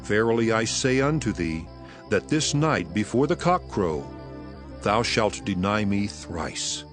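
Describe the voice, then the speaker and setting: Solemn authoritative teacher, lowish voice, lively intonation, measured archaic speech, scripture drama, strong music bed. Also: male voice. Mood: solemn, prophetic. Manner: solemn, measured, weighty delivery. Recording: voice over prominent music.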